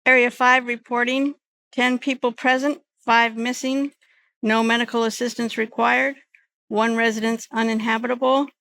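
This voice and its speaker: A woman's voice: fairly high pitch, little pitch movement, moderate pace, pushed and bright. A woman reading scripted status reports as a radio communicator. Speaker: area radio communicator in a demonstration.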